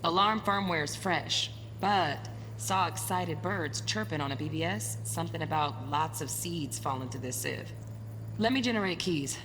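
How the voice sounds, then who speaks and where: A woman's voice: deep voice, moderate pace, in a large hall, background noise, close to an everyday voice. A woman playing a confident, streetwise tech hacker in a cyberpunk game, with a clipped, matter-of-fact delivery. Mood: analytical, confident. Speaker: hacker in a heist crew. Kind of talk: naturalistic video game acting.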